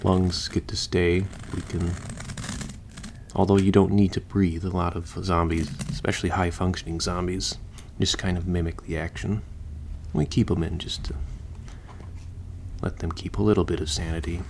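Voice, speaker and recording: A man's voice: sits low, level tone, moderate pace, vocal fry, darkish tone, a little hiss or hum. A man playing a chatty, easygoing surgeon who patches up the undead, explaining casually as he works in a listener roleplay.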